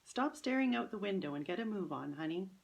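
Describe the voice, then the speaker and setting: A woman voicing a busy, affectionate storybook mother who calls out briskly to her children. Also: mid-range voice, animated, vocal fry, highs cut off. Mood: brisk, impatient.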